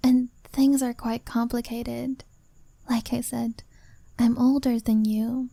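A woman voicing a shy young woman who confesses her feelings to a younger friend, speaking softly and often in a whisper. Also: on the high side, level tone, takes it slowly, creaky, no room sound, exaggerated voice. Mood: nervous. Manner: soft, hesitant, often whispered. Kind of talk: ASMR-style roleplay monologue.

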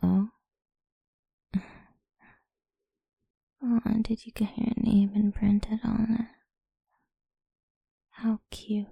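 A woman whispering a slow, doting and teasing ASMR roleplay in a breathy voice. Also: medium pitch, little pitch movement, no room sound, dull audio.

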